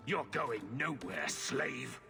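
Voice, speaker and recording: An actor playing a gruff, scornful, bullying thug with a gravelly voice in a video game. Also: male voice, mid-range voice, sing-song, slow, loud music behind the voice, full character voice. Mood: snarling.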